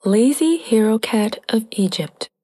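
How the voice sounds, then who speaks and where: A woman narrating a scripted children's story in a playful, expressive, unhurried storytelling manner. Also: on the high side, long reverb tail.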